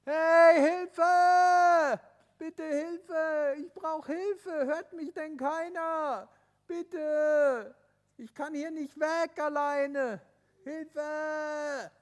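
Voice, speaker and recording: A man's voice: very high voice, slow pace, pitched above the performer's own voice, full character voice. A man voicing a small boy stranded on a mountain ledge with a hurt foot, whimpering and calling for help.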